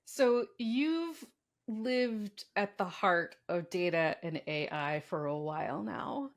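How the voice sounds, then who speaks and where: A woman hosting an interview and asking measured, curious questions. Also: on the low side, expressive. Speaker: interviewer, host.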